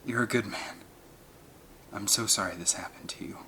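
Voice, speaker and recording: A man's voice: fairly low pitch, moderate pace, pushed and bright, slight background noise. Storybook hero scientist, acted by a male reader: battle-worn man, earnest, full of feeling, intense edge. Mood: choked, tender. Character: battle-weary, warm-hearted male scientist, moved and earnest.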